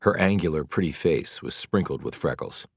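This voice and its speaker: Deep-voiced man narrating a thriller. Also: expressive, moderate pace, no room sound, studio quality. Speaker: audiobook narrator. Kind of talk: scripted dramatic narration of a thriller. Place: dry studio.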